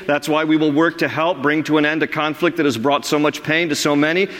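A middle-aged man preaching a sermon as pastor, emphatic, his voice climbing high on the words he stresses. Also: a bit bright, long reverb tail.

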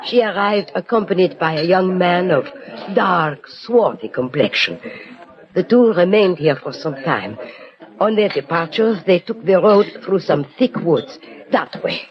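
An actress playing a plain-spoken French woman who keeps a tavern, measured and formal, in a radio drama. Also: on the low side, lively intonation. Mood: earnest, measured. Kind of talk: 1960 radio drama acting. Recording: dull old radio broadcast with music.

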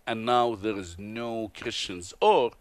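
A man from Tunisia with an Arabic accent, a radio guest telling his life story in an earnest, halting way with many pauses and restarts. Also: fairly low pitch. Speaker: guest, TV host and author. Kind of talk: interview testimony. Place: convention broadcast booth.

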